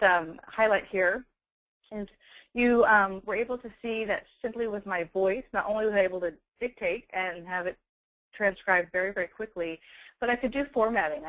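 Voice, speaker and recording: Woman presenting software, dry close sound. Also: mid-range voice, no echo, rough recording. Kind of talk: webinar product demonstration with dictation. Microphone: close handheld speech mic.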